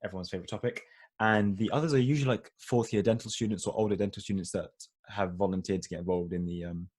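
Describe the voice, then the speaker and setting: A young man from Egypt talks students through interview tips in a loose, informal way, full of "like" and "you know". His voice sits fairly low. He speaks into a computer mic on a video call. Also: fairly even intonation, fast, a little mellow, breathy edge. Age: young adult. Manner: chatty and informal, many fillers like "like" and "you know". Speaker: dental student giving an interview webinar. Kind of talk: casual online webinar talk.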